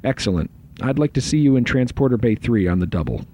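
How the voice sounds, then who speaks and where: An actor playing a busy, wry starship first officer in a science fiction audio drama, dry and authoritative. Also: male voice, mid-range voice, lively intonation, fast, dark tone, lightly acted. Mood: wry, official. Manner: dry, sardonic, muttering to himself. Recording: dull lo-fi sound with faint noise.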